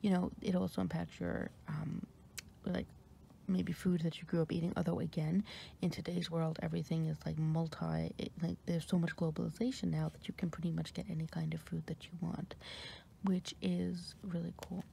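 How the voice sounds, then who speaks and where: Deep-voiced whispering American woman. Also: little pitch movement, vocal fry, a little hiss or hum. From New York, USA. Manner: soft whisper with many fillers and pauses. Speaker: ASMR creator. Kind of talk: whispered ASMR ramble.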